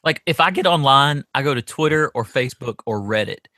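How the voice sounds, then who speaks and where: Bright-voiced man in casual podcast discussion. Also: mid-range voice, animated, fairly quick, slight background noise. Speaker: podcast co-host.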